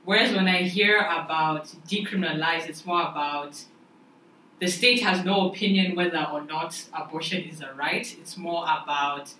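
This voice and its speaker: A woman's voice: fairly low pitch, moderate pace, sharp tone. A young woman from Zimbabwe in a casual discussion. She is curious and chatty and jokes now and then. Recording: echoey room, mic at a distance, faint noise.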